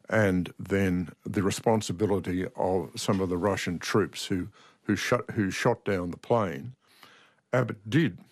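A man speaking as an interviewed author and commentator, deliberate and emphatic, repeating key phrases for stress. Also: on the low side, dry recording, clean studio sound.

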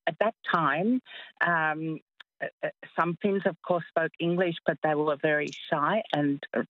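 A woman's voice: low voice, no echo. An Australian woman in her seventies calling in to a radio show, talking with hesitant ums and restarts. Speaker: caller. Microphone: phone line. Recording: tinny phone line, dry.

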